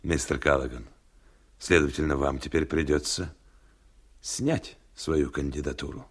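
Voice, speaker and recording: An actor in a radio play playing a relentless reporter, questioning in a deliberate, insistent, cutting way. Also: male voice, rumbling low, husky, full character voice. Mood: cold, pressing. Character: relentless, pointed reporter pressing a politician.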